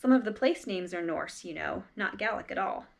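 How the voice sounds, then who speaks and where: A woman's voice: medium pitch, lively intonation, moderate pace, gravelly. A woman reading aloud as a pedantic male historian in a storybook, eager to explain in a matter-of-fact way.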